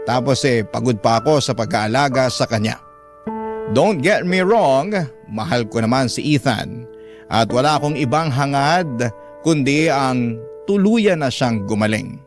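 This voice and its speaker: A man's voice: medium pitch, lively intonation, a little slow. A man reading a scripted first-person story as a radio drama host and narrator. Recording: music bed behind voice.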